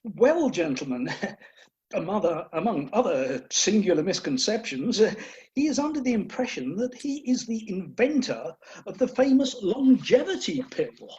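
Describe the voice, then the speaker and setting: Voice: medium pitch, very animated, normal speed, darkish tone, close to an everyday voice. A performer playing a polite, hesitant character in an amateur comic radio play over a muffled video call. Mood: politely confiding. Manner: hesitant, courteous. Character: polite, hesitant relative consulting the doctors.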